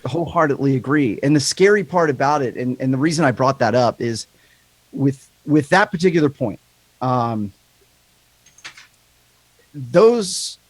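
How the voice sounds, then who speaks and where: A man with a higher-pitched voice and a slight lisp, talking candidly and rambling in a casual podcast chat, swearing freely. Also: lively intonation, unhurried, pauses often, no echo, low-quality recording.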